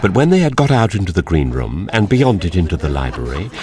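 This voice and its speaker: A man's voice: sits low, very animated, fairly quick, far from the mic, a crowd in the background. A man reading scripted story narration for a radio drama.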